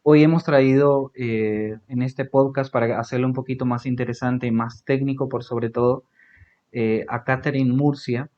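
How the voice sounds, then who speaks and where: A man's voice: medium pitch, steady tone, normal speed, a little mellow. A man with a Paraguayan accent hosting a casual podcast interview, talking with many fillers and tag questions. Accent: Paraguayan Spanish. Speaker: podcast host. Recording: dull lo-fi audio.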